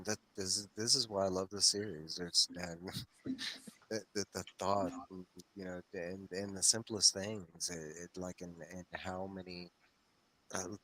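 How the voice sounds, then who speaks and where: A man with a low but bright, pressing voice talks casually as a show host. He explains at length in an enthusiastic, wandering way, doubling back on his own phrases. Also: rough recording. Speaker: host of a comics read-along discussion show. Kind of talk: casual discussion, rambling explanation.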